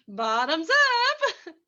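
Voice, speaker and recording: A woman's voice: very high-pitched, pushed and bright, highs cut off, full character voice. Woman acting a sultry, scheming radio-play femme fatale. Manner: flirtatious, mocking. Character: sultry, scheming, ruthless female poisoner in a crime radio play. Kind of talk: old-time radio drama acting.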